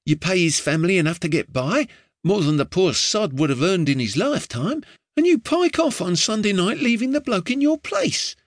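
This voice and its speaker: A man's voice: high voice, very animated, moderate pace, no echo, clean studio sound, voice pushed up. A man voicing a chatty, slangy, streetwise young boy. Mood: eager.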